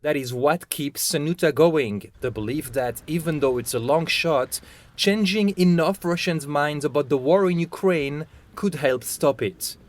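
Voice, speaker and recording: Male news reporter, slightly high voice. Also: unhurried. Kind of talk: scripted news narration.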